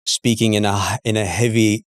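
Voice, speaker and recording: Man, middle-aged, slightly low pitch, dry sound, casual interviewer, halting phrasing, repeated words. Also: fairly even intonation, dry recording. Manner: halting, searching for words with repetitions. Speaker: interview host.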